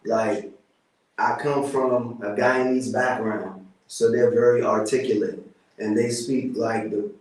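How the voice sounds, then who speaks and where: A man's voice: medium pitch, fairly even intonation, slow pace. A man with a DC area accent, a rapper guest talking casually, laid-back and often saying "you know what I'm saying". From Washington DC area. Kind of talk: casual interview chat. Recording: roomy, mic at a distance.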